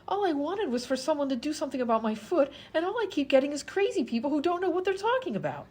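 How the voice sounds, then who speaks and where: A woman's voice: sits high, very animated, quick pace, a little mellow, a little hiss or hum. A woman playing an exasperated, indignant hospital patient pleading her case in a comedy radio sketch.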